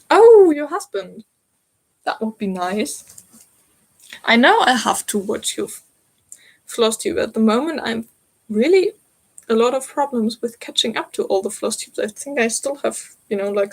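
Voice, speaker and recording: A woman's voice: a little high, husky, rough recording. A young woman in her twenties with a German accent, hosting a live stitching stream and chatting to viewers about their comments, giggling often. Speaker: stitching channel host.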